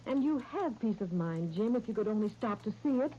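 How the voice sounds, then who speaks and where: A woman acting in 1930s radio soap style as a patient, devoted, gently firm young wife, soothing and earnest as she reasons. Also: mid-range voice, lively intonation, fairly quick, close to an everyday voice. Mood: reproving, earnest. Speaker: radio actress. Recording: dull, noisy 1930s radio recording.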